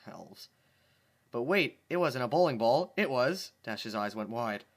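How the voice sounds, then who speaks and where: A man's voice: medium pitch, lively intonation, measured pace. A man reading fan fiction aloud in a casual, wry way, tripping over words and breaking off into joking asides. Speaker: fanfiction reader. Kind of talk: casual dramatic reading with commentary.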